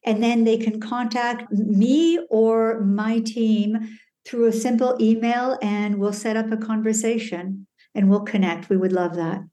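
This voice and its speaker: Woman guest, mellow warm tone, expressive and candid. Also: fairly high pitch, unhurried. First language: French. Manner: expressive, candid, talks in vivid images. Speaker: executive coach, interview guest. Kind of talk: casual conversation.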